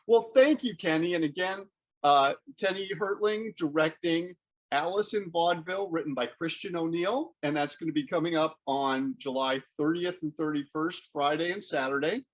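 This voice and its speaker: A man hosting a conversational interview, speaking in a halting way and rephrasing as he goes. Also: a little high, rough recording. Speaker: interview host.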